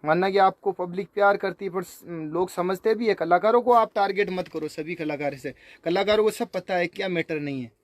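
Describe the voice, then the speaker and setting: A man speaking informally to viewers, remonstrating in a halting, repetitive way. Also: high-pitched, no echo. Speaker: singer. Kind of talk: informal spoken video response to viewers.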